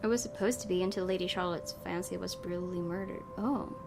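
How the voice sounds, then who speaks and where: A woman's voice: lowish voice, fast talker, vocal fry. A woman hosting a let's play, reading a horror visual novel aloud and adding casual commentary. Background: music.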